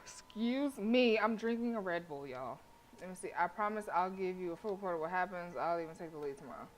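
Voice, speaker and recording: A woman's voice: on the low side, expressive, fairly quick, a little hiss or hum, poor audio. A woman streaming a game, reading story text aloud with blunt, sassy, casual asides. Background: game music.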